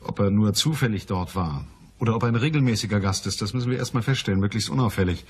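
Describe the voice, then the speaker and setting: An actor playing a dry, commanding private detective in a crime radio play, matter-of-fact and wry. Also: male voice, fairly low pitch, fast talker, slightly rough. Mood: businesslike. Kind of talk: German crime radio play, dialogue and narration.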